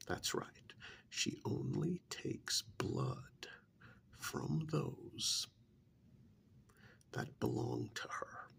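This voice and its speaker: A softly whispering vampire envoy acted by a man speaks in an ASMR role-play. His voice is thick with gravelly fry and takes its time, pausing deliberately. He sounds chatty and gently scolding, with pitch that swoops widely. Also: wildly swooping pitch, heavily acted. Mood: confiding, soothing. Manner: slow, deliberate, drawn-out pauses, conversational.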